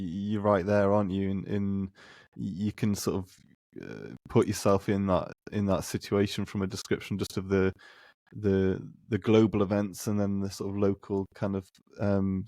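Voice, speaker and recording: A man with a fairly low voice hosts a casual podcast conversation. He is tentative, circling back and restarting his phrases, and the sound is dry with hardly any room on it. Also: darkish tone, no echo. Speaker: podcast host.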